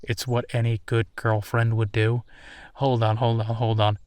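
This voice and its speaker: A man playing an easygoing, playful werewolf boyfriend in a roleplay audio, talking casually and haltingly with a self-deprecating streak. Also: medium pitch, flat delivery, a little mellow, no echo, lightly acted. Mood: fond, grateful. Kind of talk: intimate boyfriend roleplay audio, improvised-sounding one-sided conversation.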